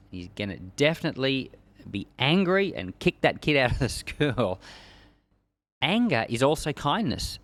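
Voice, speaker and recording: A man giving a conversational Bible-teaching sermon, explaining with everyday examples and rhetorical questions, repeating key phrases for emphasis. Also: mid-range voice. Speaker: preacher teaching a Bible chapter.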